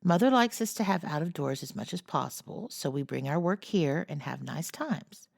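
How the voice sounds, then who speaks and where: A woman voicing a frank, outspoken teenage girl in a storybook reading, blunt and brisk. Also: low voice, expressive, vocal fry, a little mellow, no echo, clean studio sound, heavily acted. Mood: explaining.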